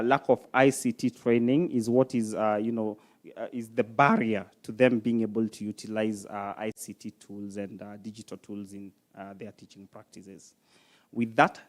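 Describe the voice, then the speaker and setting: A man presenting findings at a conference, hesitating often with filler pauses as he closes his talk. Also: lowish voice. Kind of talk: closing a research presentation.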